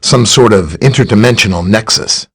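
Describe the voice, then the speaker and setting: A man's voice: on the low side, normal speed, fairly bright tone, husky. A man playing a friendly, easygoing superhero scientist in a video game, explaining things in a casual, conversational way. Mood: explanatory. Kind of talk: video game character dialogue, briefing and greeting.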